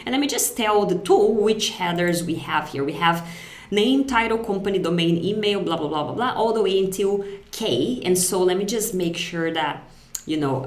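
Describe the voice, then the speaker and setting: Low-voiced Brazilian woman teaching software. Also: roomy sound. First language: Portuguese. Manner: conversational, explanatory, checks in with "right?" and "okay?". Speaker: tutorial presenter. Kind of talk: casual step-by-step software tutorial.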